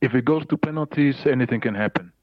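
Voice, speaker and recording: A man in casual football chat, loud in his excitement and heavy on swearing and repetition. His voice sits at an ordinary pitch. The recording is muffled and lo-fi with a dry, close sound. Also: fast, dry recording. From South Africa. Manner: excitable, profane, emphatic. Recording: dry, dull lo-fi audio.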